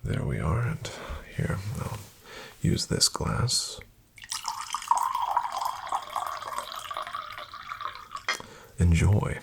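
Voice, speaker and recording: A man playing a suave, flattering financial advisor in a soft-spoken ASMR roleplay, hushed, courteous and unhurried, often near a whisper. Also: deep voice, vocal fry, husky, exaggerated voice. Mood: welcoming, courteous. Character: suave, flattering male financial advisor to wealthy elite clients.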